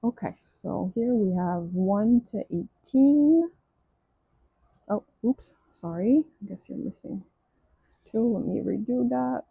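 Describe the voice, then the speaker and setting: A woman's voice: a little high, lively intonation, takes it slowly, gravelly, breathy edge, dry recording, phone-quality sound. A woman with a French accent, French her first language, chatting casually through a craft kit unboxing, gushing and restarting often. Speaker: crafting vlogger.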